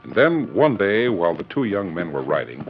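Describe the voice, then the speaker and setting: A man's voice: low voice, sing-song, normal speed. A man narrating a 1940s radio western in a dramatic, scripted storytelling style. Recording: muffled old radio recording. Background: music.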